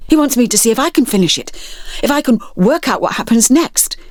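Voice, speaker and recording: A woman reading a story as an eager, determined teenage girl, excitable and emphatic. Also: fairly high pitch, a little fast, dry recording, clean studio sound, voice pushed up. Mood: bursting with excitement. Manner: emphatic, forceful.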